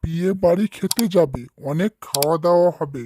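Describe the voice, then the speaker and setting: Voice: mid-range voice, a little fast, warm tone, a little hiss or hum, close to an everyday voice. An actor voicing an eager, inquisitive young village boy who loves food, in cartoon dialogue. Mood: curious.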